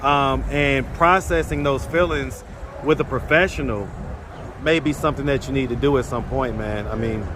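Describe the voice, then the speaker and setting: A man's voice: mid-range voice, normal speed. A man hosting a street interview, asking direct, probing questions in casual slang. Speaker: street interviewer and channel host. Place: outdoors on a city street. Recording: street traffic noise outdoors.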